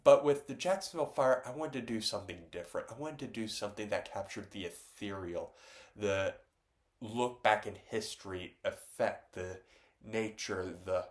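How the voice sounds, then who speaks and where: A man, congested from a stuffy nose, talking loosely about his own artwork, with many pauses and restarts. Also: fairly low pitch. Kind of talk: casual reflective talk to camera.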